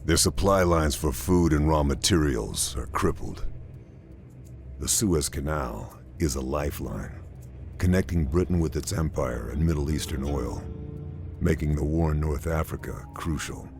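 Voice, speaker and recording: A man's voice: a little slow, a little mellow, faint music behind, heavily acted. A man playing a grim, hardened army sniper, narrating a video game cutscene in a terse, stoic, cynical way. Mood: grim, measured. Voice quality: deep, gravelly.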